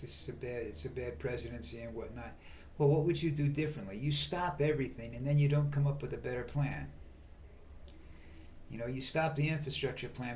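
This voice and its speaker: An older man hosting a rambling vlog monologue, with a monotone voice and a halting way of talking full of fillers and restarts. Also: mid-range voice, slight background noise. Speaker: vlog host.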